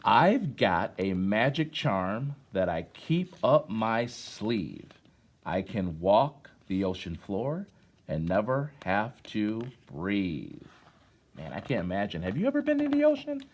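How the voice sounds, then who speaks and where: A man's voice: fairly low pitch, very animated, takes it slowly. A middle-aged American man reading and chatting to children in a conversational way, with questions and encouragement, like a former teacher at story time.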